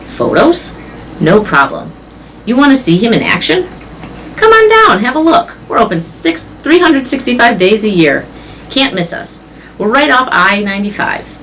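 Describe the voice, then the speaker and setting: A man's voice: sits high, very animated, moderate pace, bright, forceful tone, a little hiss or hum, pitched above the performer's own voice, heavily acted. A reader voicing a brash, greedy male mall owner with an emphatic, salesman-like manner. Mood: eager salesman.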